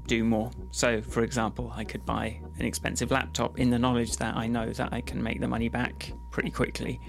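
A man hosting a podcast in a loose, casual chat. He rambles, jokes and laughs often, in a voice of ordinary middle pitch. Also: little pitch movement, a little fast, creaky, music in the background. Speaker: podcast host.

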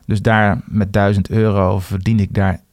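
A man co-hosting a podcast in casual chat, speaking in a hesitant, halting way. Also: fairly low pitch, little pitch movement, darkish tone, no room sound, clean studio sound. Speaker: podcast co-host.

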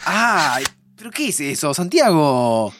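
A man's voice: fairly high pitch, noisy background. An actor playing a playful, curious time traveller who teases his friend expressively in a Buenos Aires accent, in a fiction podcast. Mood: wary, sarcastic. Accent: Argentine, Buenos Aires. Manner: teasing, expressive, drawn-out. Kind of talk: naturalistic fiction podcast dialogue.

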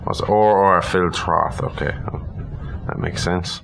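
A man's voice: sits low, normal speed, gravelly, a bit bright, slight background noise. A man co-hosting a podcast, reading a legend aloud and adding informal, irreverent, sweary commentary. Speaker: podcast co-host.

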